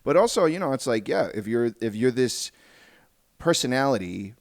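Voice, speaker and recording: A man hosting a podcast in a casual conversational way, rephrasing his thoughts haltingly as he explains them. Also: mid-range voice, big pitch swings, gravelly, no room sound, clean studio sound. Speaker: podcast host.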